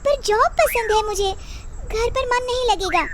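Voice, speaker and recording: A woman's voice: extremely high pitch, unhurried, background noise, voice pushed up. A woman voicing a meek, polite young daughter-in-law in a cartoon family story, speaking in a deferential, pleading way.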